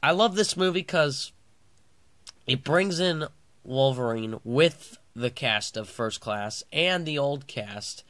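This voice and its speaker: A man's voice: mid-range voice, expressive, slow pace, a bit bright, no echo. A man with a clogged nose and sore throat, rambling casually through a movie review vlog with many fillers and restarts.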